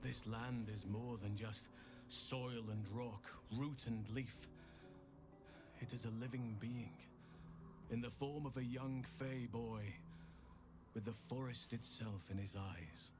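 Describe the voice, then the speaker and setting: A man's voice: medium pitch, steady tone, takes it slowly, lightly acted. A man playing a grave, reverent druid with poetic speech in a video game. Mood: solemn, reverent. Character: solemn, reverent nature-priest druid man. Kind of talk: video game character acting. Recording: loud music behind the voice.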